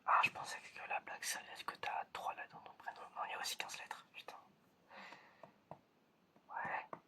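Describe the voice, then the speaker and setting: A person whispering an ASMR roleplay as an examiner, in a breathy, chatty, playful and hesitant way. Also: normal speed. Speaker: ASMR creator playing an examiner giving sense tests.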